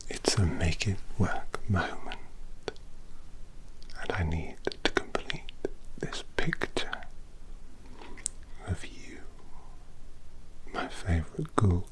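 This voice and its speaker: A possessive male vampire painter, acted by a man, leans close in an ASMR roleplay. He whispers intimately in a deep, dark register. His words come slow and unhurried, tender on the surface but menacing beneath. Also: expressive. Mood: possessive coaxing.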